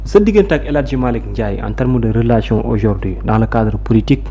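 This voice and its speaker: A man's voice: mid-range voice, a little fast, warm tone, dull audio, faint music behind. A man with a Senegalese accent interviewing a guest, mostly giving short prompts and follow-up questions. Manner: short prompts and backchannel acknowledgements. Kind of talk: conversational interview, mixing Wolof and French.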